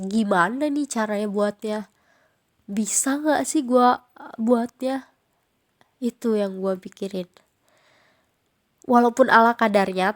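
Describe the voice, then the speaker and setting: A young woman with a Jakarta slang accent speaks in a relaxed, informal podcast chat. Her voice is audibly nasal and stuffed up from a cold. She leans on casual fillers as she talks, at a middle pitch. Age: young adult. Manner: chatty, informal, frequent fillers. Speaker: podcast host.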